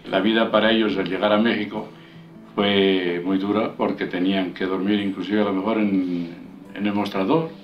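A man's voice: low-pitched, flat delivery, normal speed, faint music behind. An older man from León, Spain, giving a documentary interview at home, hesitant and repeating words as he recalls things. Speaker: interviewee, emigrant. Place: home living room. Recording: distant, roomy, dull documentary sound.

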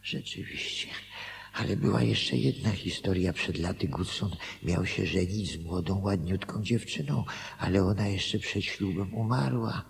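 An actor playing a self-deceiving husband in a Polish radio drama, thinking aloud, hesitant and anxious to justify himself. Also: male voice, low voice, unhurried, vocal fry, background noise. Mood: musing, hopeful.

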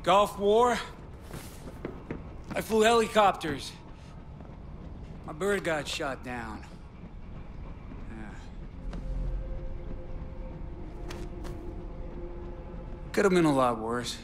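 An actor playing a lonely, jittery war veteran obsessed with movies in a video game, halting and rambling, eager and pleading. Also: male voice, a little high, animated, slight background noise. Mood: wistful. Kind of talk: naturalistic video game acting.

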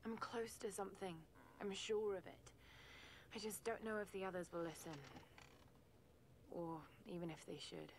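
A woman's voice: mid-range voice, fairly even intonation, moderate pace, long pauses, slight background noise, natural voice. An actress playing an earnest, determined young woman archaeologist in a naturalistic video game cutscene. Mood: uneasy, earnest.

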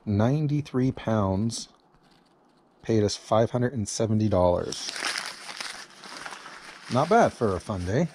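A man's voice: on the low side, lively intonation, slow pace, pauses often, a little mellow, a little hiss or hum. A man chatting casually while filming as a vlogger on a handheld camera. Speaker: vlogger filming a foraging trip. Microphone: handheld action camera.

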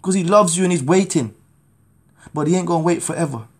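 A man's voice: fairly high pitch, fairly quick. A man in his thirties from Jamaica, preaching his testimony to a phone camera in a small room at home, urgent and pleading. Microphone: phone camera at arm's length.

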